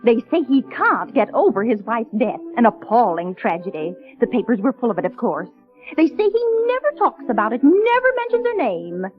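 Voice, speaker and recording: Gossipy, gushing older society matron, high-pitched and domineering, old radio drama sound with music. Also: female voice, big pitch swings, normal speed. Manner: imperious, effusive, chattering. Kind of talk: 1930s radio drama acting. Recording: muffled old 1930s radio broadcast.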